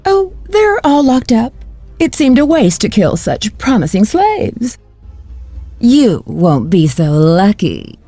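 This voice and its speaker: Seductive, mocking game villainess: unhurried, lilting, slightly high, dry close sound, cruel tease. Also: female voice, huge pitch swings, dark tone, no room sound, soft background music, exaggerated voice. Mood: cruel, gloating. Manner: teasing, sultry. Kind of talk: dramatic video game acting.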